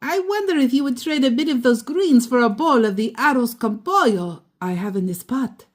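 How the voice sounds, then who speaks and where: A woman voicing a polite, warm-hearted grandmother in a children's storybook, with a courteous, coaxing manner. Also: a little high, lively intonation, normal speed, pitched above the performer's own voice. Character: polite, warm-hearted grandmother neighbour carrying food to share.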